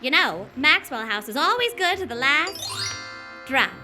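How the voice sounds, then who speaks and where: Lady doing a very high chirpy scatterbrained wife, loud music behind. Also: sing-song, a little slow. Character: daffy, cheerful young housewife. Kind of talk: live radio-play comedy acting.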